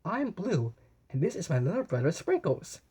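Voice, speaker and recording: A woman voicing an excitable, cheerful young female cartoon puppy in an eager, exclamatory way for a children's story. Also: fairly low pitch, normal speed, husky, voice pushed up, heavily acted.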